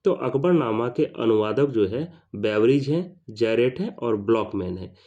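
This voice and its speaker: A man's voice: mid-range voice, expressive, measured pace, warm tone. A man teaches like an exam tutor, in a didactic question-and-answer manner, repeating each question and its answer. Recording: dull lo-fi sound.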